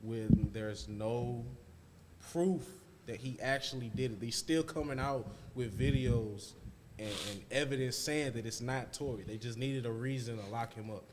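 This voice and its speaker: A man's voice: medium pitch, measured pace, darkish tone, a little hiss or hum. A man co-hosting a casual podcast chat, blunt and opinionated. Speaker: podcast co-host.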